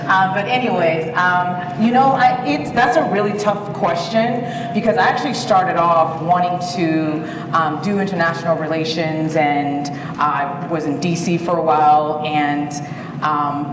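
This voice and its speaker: A woman's voice: lowish voice, a little slow, hardly any pauses. A woman from Liberia with an American accent, answering on a panel in a thoughtful, hesitant way. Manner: thoughtful, hesitant, many fillers. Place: conference hall. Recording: echoey hall from far off, background noise.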